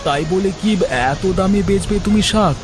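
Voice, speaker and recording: A man's voice: sits high, moderate pace, music in the background, natural voice. A man voicing an earnest, fretful village vegetable farmer in a cartoon story. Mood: indignant. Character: plain village greens farmer, wary and fretful. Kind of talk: cartoon moral-story voice acting.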